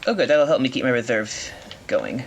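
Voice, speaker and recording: A man's voice: medium pitch, fairly quick, a little hiss or hum. Man commentating his own speedrun. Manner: explains while playing, reacts to mistakes, self-correcting. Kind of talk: live commentary while playing.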